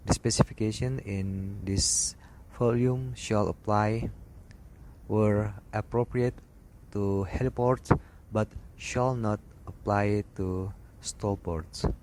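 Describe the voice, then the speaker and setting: A man's voice: on the low side, steady tone, slow pace, poor audio. A man reading out a scripted lesson as an instructor, in broken phrases with stumbles over words. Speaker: instructor reading aviation regulations. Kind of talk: read-aloud scripted lesson narration. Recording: loud background noise with clicks.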